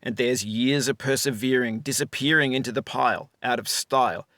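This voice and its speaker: A man giving reflective scripted narration as a game developer, in a measured, articulate, philosophical manner. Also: mid-range voice, fairly even intonation, fairly bright tone, no room sound, clean studio sound.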